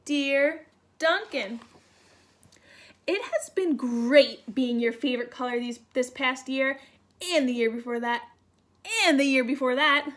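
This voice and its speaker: Storybook blue crayon, small and overworked, plaintive, high and slow, played by a woman. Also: fairly bright tone. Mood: weary, plaintive. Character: short, stubby, overworked, weary blue crayon. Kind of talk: children's storybook read-aloud.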